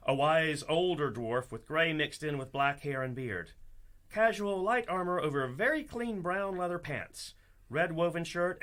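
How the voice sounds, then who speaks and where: An actor playing the storytelling narrator of a comic fantasy radio play, theatrical and descriptive. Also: male voice, a little high, a bit bright.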